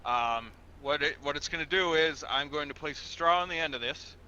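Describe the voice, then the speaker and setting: Man acting as male police officer, roleplay game, very bright forceful voice, fairly high, methodical, patient, repetitive instructions. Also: a little fast, dull audio, slight background noise. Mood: procedural, patient. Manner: methodical, instructional, repeats himself, formal with sir. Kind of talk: improvised online game roleplay.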